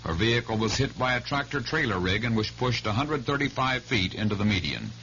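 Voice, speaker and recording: A man's voice: medium pitch, animated, fairly quick, pushed and bright. An American man reading a scripted local radio news bulletin. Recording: muffled old radio, faint hum.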